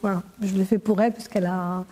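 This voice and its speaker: Dark-voiced woman in her fifties. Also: mid-range voice, steady tone, fast talker, breathy edge, big-room sound. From Portugal. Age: 50s. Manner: hesitant, trailing off with fillers. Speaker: interviewee. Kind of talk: casual conversation.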